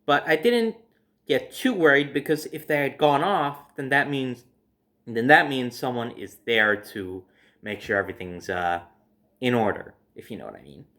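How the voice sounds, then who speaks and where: Casual male storyteller, conversational and animated. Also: mid-range voice, moderate pace. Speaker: storyteller hosting own video series.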